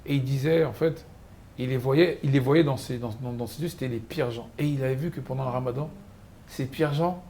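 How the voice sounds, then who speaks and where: A man hosts a conversational interview in a mid-pitched voice, chatty and animated as he tells anecdotes. Faint noise runs underneath. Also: a little fast. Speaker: host.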